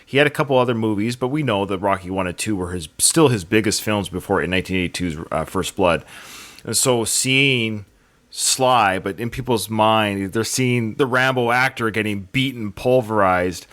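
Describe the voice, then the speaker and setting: A man's voice: fairly low pitch, moderate pace, a bit bright. A man hosting a podcast, talking through films in a casual, conversational way. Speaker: podcast host. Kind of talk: casual conversational film commentary.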